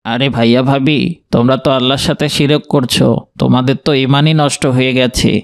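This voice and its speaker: A man acts an earnest younger brother and doctor in a cartoon. The voice is gravelly and fairly even, recorded dry. He lectures in a reproving, educated manner. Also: mid-range voice, normal speed, no room sound, close to an everyday voice. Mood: earnest reproach. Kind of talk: dramatized cartoon dialogue.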